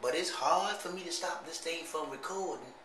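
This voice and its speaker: A man's voice: on the high side, moderate pace, bright, forceful tone. A middle-aged man putting on a rambling, simple-minded country sibling, drawling and teasing in a comic monologue to camera in a small home bathroom. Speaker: comedy vlogger. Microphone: handheld camera at arm's length. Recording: echoey bathroom, faint hiss.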